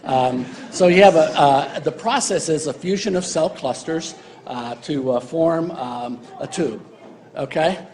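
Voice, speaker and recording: A man's voice: a little high, measured pace, darkish tone. A man giving a university embryology lecture in a casual, joking way, with many fillers. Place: lecture hall.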